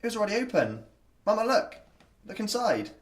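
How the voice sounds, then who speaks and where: A woman's voice: medium pitch, very animated, fairly quick, lightly acted. A woman playing a scared, confused small refugee boy in a radio drama, plaintive and questioning. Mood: bewildered. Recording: noisy ambience behind.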